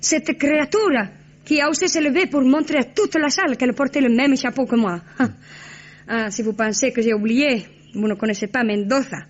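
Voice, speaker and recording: A temperamental cabaret diva, flamboyant and dramatic, fairly high voice, muffled old broadcast sound, vintage radio play. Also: female voice. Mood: fiery. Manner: flamboyant, dramatic, quick to anger. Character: fiery, theatrical Argentine cabaret singer, female. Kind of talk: 1950s radio drama acting.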